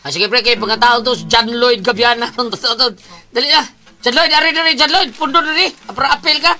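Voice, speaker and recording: A man's voice: extremely high pitch, normal speed, pushed and bright, exaggerated voice. A man playing a tipsy, teasing drinking buddy in a comedy skit, with a Cebuano Filipino accent and a loose, repetitive, exclamatory way of talking. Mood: egging on. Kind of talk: casual comedic skit banter.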